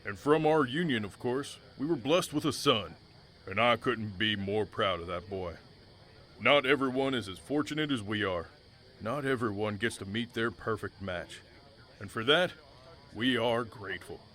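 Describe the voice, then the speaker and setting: A man's voice: fairly low pitch, moderate pace, fairly bright tone, slight background noise, lightly acted. A man playing a proud, devoted rancher husband in a Western audio drama. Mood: earnest, loving. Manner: earnest, plain-spoken.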